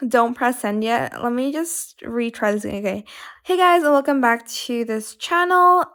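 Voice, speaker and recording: A woman with a fairly high voice hosts a casual screen tutorial. She talks informally and somewhat hesitantly, often correcting herself as she explains each step. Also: fairly bright tone. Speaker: tutorial host. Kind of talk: casual how-to tutorial narration.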